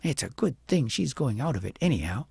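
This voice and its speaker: Man playing spoiled young princess, sulky, sneering, muttered, gravelly and husky. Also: medium pitch, big pitch swings, a little fast, a little mellow, dry recording, exaggerated voice.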